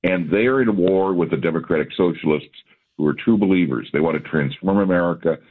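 A man's voice: low-pitched, a little fast. A man as a guest commentator on a radio interview, speaking assertively with wry remarks. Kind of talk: phone-in interview. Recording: phone line.

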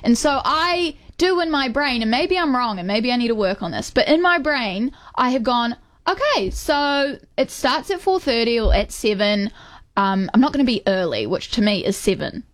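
Casting: woman, 20s, higher-pitched, casual panelist, hedging and defensive. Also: lively intonation, moderate pace. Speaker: show panelist. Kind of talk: casual group debate.

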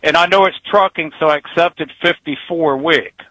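A man's voice: on the high side, normal speed, sharp tone, no echo, poor audio. Rambling folksy man in his sixties. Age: 60s. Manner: rambling, folksy, chuckles between thoughts. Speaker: retired truck driver caller. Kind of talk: casual phone-in chat.

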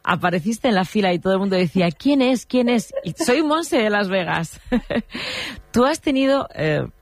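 A man's voice: sits high, expressive, normal speed, dry recording. A radio host interviewing callers, repeating his questions and reassuring them patiently.

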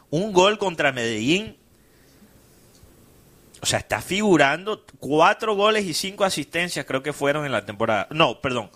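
Man reeling off football stats. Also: on the high side. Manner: reels off stats in a steady list. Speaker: sports radio host. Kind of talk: casual sports talk, reading listener comments.

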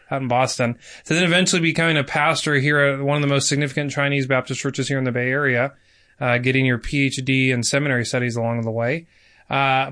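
A man's voice: medium pitch, fairly bright tone. A man hosting a podcast, chatty and upbeat, joking as he introduces his guest. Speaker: podcast host. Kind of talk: casual podcast chat.

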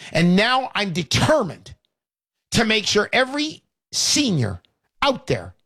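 Man, 60s, call-in radio host, emphatic pitchman, high and dry. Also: no echo. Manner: energetic, salesy, emphatic. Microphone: studio microphone.

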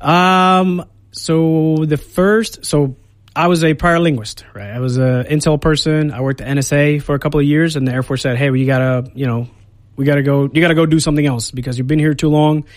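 A man's voice: fairly high pitch, fairly even intonation, fairly quick, no room sound. A man from Puerto Rico in his thirties, an Air Force recruiter, talking casually in a radio studio interview with many fillers and restarts.

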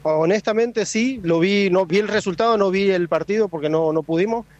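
A man's voice: sits high, moderate pace, darkish tone, no echo, muffled recording. A man from Argentina with an Argentine accent, an enthusiastic football fan who boasts about his team. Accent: Argentine Spanish.

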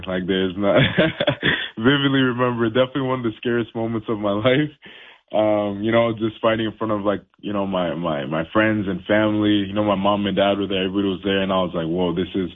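A young man from Haiti, a professional boxer, talking casually in an interview with lots of fillers and restarts. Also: fairly low pitch. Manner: laid-back, many fillers and restarts. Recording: tinny phone line.

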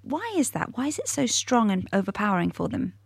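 A woman hosting a radio news podcast, presenting in a bright, conversational way from a studio. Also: medium pitch, sing-song, a little fast, creaky, husky, no echo. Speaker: podcast host.

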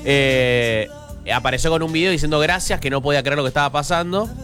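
A man's voice: fairly high pitch, brisk, a bit bright, soft background music. A man with a Rioplatense Spanish accent telling a story on a radio show panel, chuckling as he goes. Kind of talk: casual studio chat.

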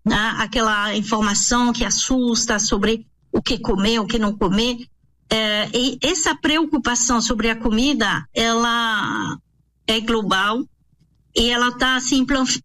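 A woman's voice: a little high, a bit bright. A woman from France, a nutrition professional answering interview questions in a thoughtful way, with many fillers and restarts. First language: French.

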